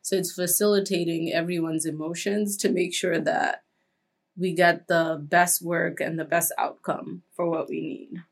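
Lower-voiced young woman chatting casually. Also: flat delivery, measured pace, creaky. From Kenya. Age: 20s. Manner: chatty, frequent "like" and "um" fillers. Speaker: guest. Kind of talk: casual interview chat.

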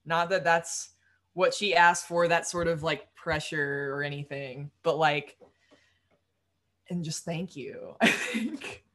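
A young woman musician with a low voice, talking casually in an interview, rambling with lots of fillers and quick laughs. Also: fairly bright tone. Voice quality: low-register voice. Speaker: musician guest.

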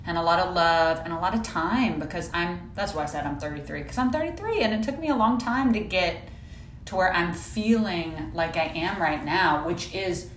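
A woman's voice: fairly low pitch, expressive, moderate pace, fairly bright tone. A woman in her thirties co-hosting a podcast, speaking encouragingly and directly to her listeners. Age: 30s. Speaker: podcast co-host, women's coach. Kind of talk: casual chat. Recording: roomy room with faint noise.

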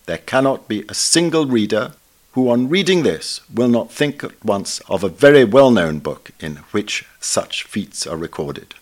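A man's voice: fairly low pitch, lively intonation, a little slow. A man in his seventies with an upper-class English accent, reading a scripted book review in a formal, emphatic, erudite manner.

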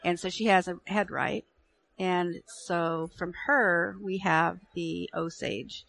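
A woman's voice: fairly low pitch, steady tone, takes it slowly, vocal fry, fairly bright tone. A woman with a nursing and public health background, a radio interview guest who talks with hesitations and restarts.

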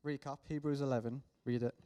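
A man closes his sermon in a relaxed, conversational manner. His voice stays level in pitch and has a dark, mellow tone. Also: fast talker. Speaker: preacher.

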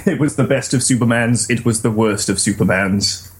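A man's voice: mid-range voice, normal speed, slight background noise. A man co-hosting a podcast in casual, conversational banter. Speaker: podcast co-host.

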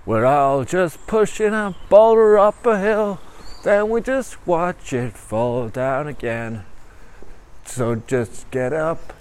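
A man's voice: mid-range voice, a little mellow. A man voicing an upbeat, philosophical American tiger mascot giving an earnest pep talk that slips into song.

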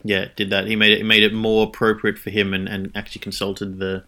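Halting man, lowish voice. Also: completely flat delivery, bright, forceful tone. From Norway. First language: Norwegian. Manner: halting, many fillers and restarts. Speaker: podcast co-host. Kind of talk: casual film chat.

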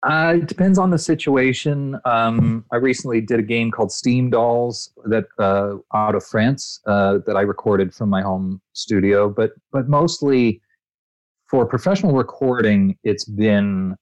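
A male voice actor being interviewed, telling expansive, animated anecdotes with frequent restarts. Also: medium pitch, a little slow, no echo, poor audio. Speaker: voice actor guest. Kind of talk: interview answers, storytelling.

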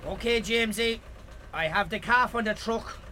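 A man's voice: high-pitched, normal speed, background noise, close to an everyday voice. An actor playing an easygoing, helpful countryman with an Irish accent in a naturalistic radio drama. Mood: friendly, matter-of-fact.

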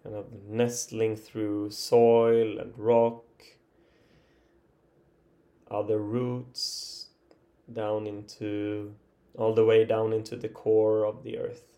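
A man leading an improvised guided body relaxation as a meditation teacher, speaking gently and haltingly with long pauses. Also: on the low side, completely flat delivery.

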